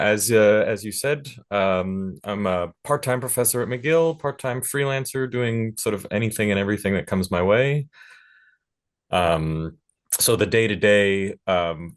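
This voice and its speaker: A man speaking casually as a panelist about his working life as a sound engineer and teacher. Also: low voice. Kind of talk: casual panel talk about own career.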